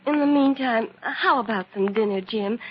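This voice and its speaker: An actress playing an eager, devoted young assistant in an old-time radio crime drama. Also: female voice, fairly high pitch, moderate pace, natural voice. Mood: eager, attentive. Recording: dull, noisy old radio recording.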